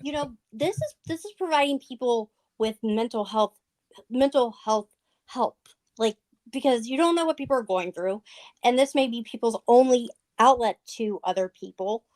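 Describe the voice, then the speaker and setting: A woman's voice: fairly high pitch, animated, moderate pace, a little mellow. A woman guest in a casual livestream chat, talking in an earnest, rambling way full of fillers.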